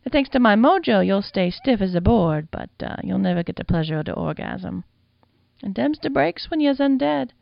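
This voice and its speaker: A woman's voice: medium pitch, animated, normal speed, vocal fry, no room sound, muffled recording, voice pushed up. A woman voicing a brassy, teasing older woman who talks in a folksy, mocking drawl.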